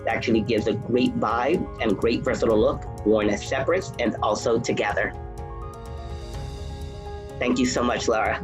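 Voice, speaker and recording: A man presenting student outfits, describing each garment in a smooth, salesy way. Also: medium pitch, fairly even intonation, moderate pace, pauses often. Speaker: fashion presenter. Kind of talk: runway-style commentary on outfits. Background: background music.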